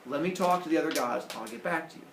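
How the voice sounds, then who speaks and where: A man's voice: on the high side, quick pace, roomy sound, far from the mic, noisy background, lightly acted. A man voicing an exasperated, fatherly Greek god who talks in a colloquial, matter-of-fact way.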